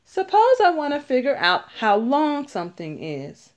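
A woman reading a children's picture book aloud, deliberately, stressing key words as she teaches. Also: sits high, huge pitch swings. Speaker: read-aloud narrator.

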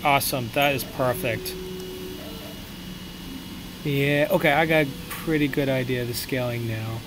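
A man's voice: mid-range voice, measured pace. Young man rambling on a noisy stream. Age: young adult. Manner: rambling, thinking aloud with many fillers. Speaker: streamer modeling a prop. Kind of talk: casual live-stream commentary while working. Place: small room at home, indoors.

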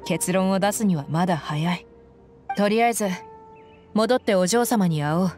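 An actress playing a loyal, frank young mercenary bodyguard in a game, speaking in a firm, plain-spoken, tomboyish way. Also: female voice, mid-range voice, moderate pace, darkish tone, soft background music, lightly acted. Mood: resolute. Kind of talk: Japanese game voice acting.

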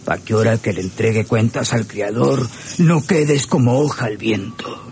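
A man playing a frail, anxious old rancher father with a creaky voice and rural Mexican accent. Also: lowish voice, normal speed, darkish tone, lightly acted. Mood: tender. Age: older. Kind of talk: old Spanish-language radio serial acting. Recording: noisy old radio drama recording.

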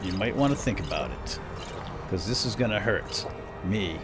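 A man's voice: low-pitched, animated, moderate pace, music in the background. A man giving playful, theatrical, lightly role-played video game commentary, talking to his companion as he plays. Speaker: gaming video host. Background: game ambience and effects.

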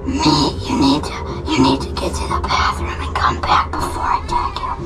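A woman's voice: moderate pace, close to an everyday voice. Creepy whispering skeleton monster, a woman doing it. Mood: taunting. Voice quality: hoarse whisper. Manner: taunting, gives game rules. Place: home basement. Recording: echoey room, far from mic, loud music.

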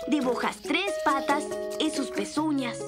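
A cheerful little boy rabbit from a preschool cartoon. The voice is high and childlike, with simple, instructive phrasing for small children. Loud music plays behind it. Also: unhurried. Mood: patient, instructive. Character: cheerful young boy rabbit who loves drawing.

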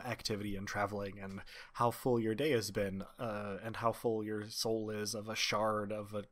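A man improvising tabletop game narration as game master, halting and wry. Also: on the low side, fairly bright tone.

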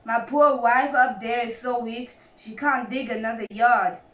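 Woman as excitable, desperate Badger in echoey sound. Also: on the high side, measured pace, recorded from a distance. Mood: despairing. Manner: exclamatory, emphatic, old-fashioned chummy phrasing. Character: Badger, excitable, desperate grown male badger father.

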